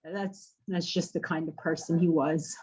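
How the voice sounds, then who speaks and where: An actress playing an earnest, haunted woman in an audio drama, speaking haltingly and searching for her words. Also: deep voice, steady tone, darkish tone. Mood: wistful. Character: earnest, haunted woman running an apocalypse support group. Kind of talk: naturalistic podcast radio drama acting.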